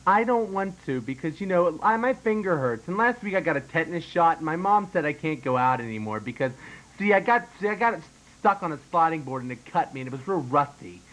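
A man's voice: on the high side, expressive, fairly quick, a bit bright, poor audio. A man playing a whiny, touchy little boy in an improvised comedy sketch, complaining and repeating himself.

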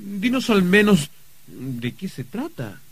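An actor playing a puzzled, disbelieving young nephew in a radio drama, with a husky voice. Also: male voice, sits high, sing-song, takes it slowly, no echo, exaggerated voice. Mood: incredulous.

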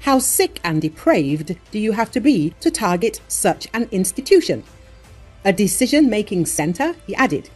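A woman reading scripted news in a measured, formal voice-over. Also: mid-range voice, sing-song, a little mellow, slightly rough, soft background music. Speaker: news narrator.

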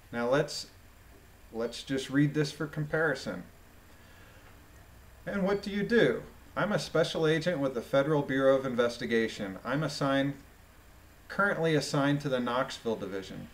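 A man reading a court transcript aloud as questions and answers, deliberately, with informal delivery as a commentator. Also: mid-range voice.

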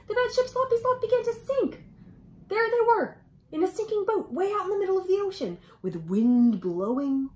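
Very animated, high-pitched woman storyteller. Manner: expressive, exclamatory, dramatic emphasis. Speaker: children's storyteller. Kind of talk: animated picture-book read-aloud to children.

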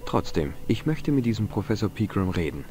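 An actor playing a curious young adventurer and sleuth in a video game, measured and dry. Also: male voice, lowish voice, very animated, dark tone. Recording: game music behind.

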